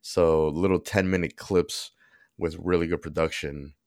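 A man's voice: sits low, steady tone, measured pace, a little mellow, dry recording, clean studio sound. A man co-hosting a podcast in casual chat, stuffed up and nasal from allergies. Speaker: podcast co-host.

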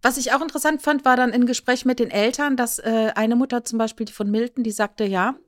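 A woman's voice: a little high, fairly even intonation, a little fast, no room sound, clean studio sound. A woman discussing casually as a podcast co-host, making her points emphatically with rhetorical questions.

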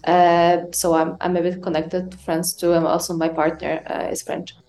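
A woman's voice: fairly low pitch, monotone, normal speed, mellow. Polish-accented woman, hesitant and cheerful. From Poland. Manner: hesitant, frequent fillers, cheerful. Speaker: guest microbiologist. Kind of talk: casual interview.

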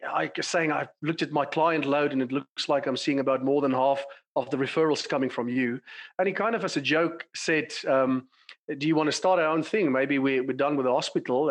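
A South African man in his forties answers questions over a remote call at an easy conversational pace. He speaks thoughtfully, pausing on frequent fillers as he explains things, his voice sitting in an ordinary middle register. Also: mid-range voice. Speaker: clinical psychologist, podcast guest. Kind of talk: conversational interview.

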